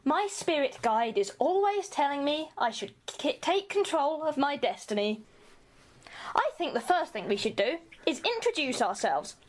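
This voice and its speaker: A woman's voice: high-pitched, big pitch swings, moderate pace. A young woman playing an earnest student who organises her fellow passengers in an amateur radio play. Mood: earnest, determined. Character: earnest student cosplayer taking charge of stranded passengers.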